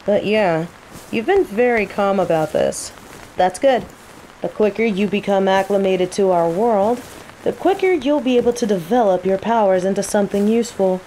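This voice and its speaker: A woman playing a cocky, teasing female mage who controls plants, in a scripted roleplay audio drama, with an unhurried, playful drawl. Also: mid-range voice, lightly acted, rough recording. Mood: casual, instructive. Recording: steady rain ambience noise. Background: falling rain.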